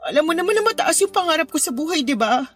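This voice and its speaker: A woman's voice: high-pitched, expressive, fast talker, faint music behind. An actress in a radio drama playing a tired, tearful young mother who complains plaintively about her hard life. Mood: regretful. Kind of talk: Tagalog radio drama acting.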